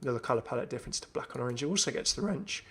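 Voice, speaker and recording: A man's voice: medium pitch, lively intonation, rapid-fire, husky. A man hosting a gaming video, commenting casually and enthusiastically as he thinks aloud. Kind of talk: casual game update commentary.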